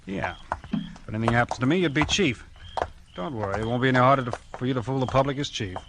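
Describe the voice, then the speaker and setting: A man's voice: medium pitch, fast, close to an everyday voice. A man playing a dry, laconic American small-town police chief in a 1940s radio drama. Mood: wry, relaxed. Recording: noisy old 1940s radio recording.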